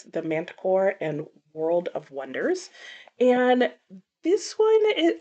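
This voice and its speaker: A woman talking casually as a book reviewer, conversational, with animated asides and self-corrections. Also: medium pitch. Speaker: book reviewer presenting a book haul. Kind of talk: casual chat to camera.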